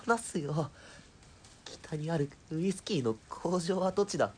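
A man's voice: a little high, expressive, unhurried, slight background noise. An actor playing a captured military policeman in an audio drama, defiant but cornered, blustering and grudging.

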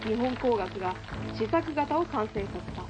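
A woman giving scripted historical narration in a measured documentary way. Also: a little high, lively intonation, music in the background, rough recording.

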